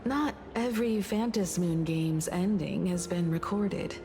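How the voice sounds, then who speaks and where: A composed game character who explains lore in a measured, even way. Her voice sits low for a woman. A clear hiss runs under her lines. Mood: explaining.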